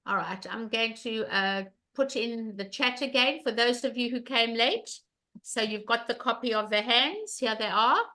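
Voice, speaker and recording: Loud, bright-voiced older woman teaching bridge. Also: fairly high pitch, lively intonation, unhurried. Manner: emphatic, repeats questions to the class. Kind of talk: online bridge lesson.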